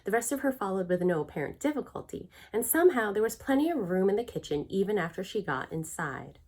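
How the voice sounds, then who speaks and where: A middle-aged woman reads a children's novel aloud. Her voice sits a little low for a woman. She phrases the story clearly and expressively, as a storyteller. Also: a little mellow. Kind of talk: children's book read-aloud narration. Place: small furnished room at home.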